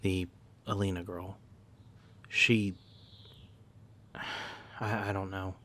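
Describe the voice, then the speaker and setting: Shaken male colleague played by a man, deep voice, hesitant and concerned, audio drama delivery. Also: little pitch movement, bright, forceful tone, heavily acted. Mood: uneasy concern. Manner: halting, hesitant, uneasy. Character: shaken, uneasy male colleague of a young priest.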